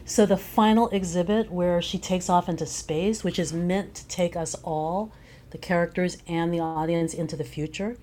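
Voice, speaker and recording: A woman's voice: lowish voice, fairly even intonation, normal speed, warm tone, slight background noise. A woman speaking as an interview guest about their creative work, reflective and earnest, developing long thoughts. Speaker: teacher.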